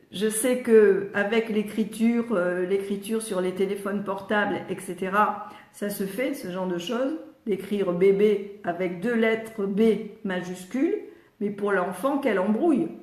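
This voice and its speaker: A woman's voice: mid-range voice, measured pace. An older woman with a slightly congested voice, talking parents through how children learn letters in an easy, chatty way. She calls viewers by name as she answers them live, and the sound bounces around a roomy space. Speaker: retired speech therapist answering parents live. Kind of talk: live Q&A teaching. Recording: roomy echo.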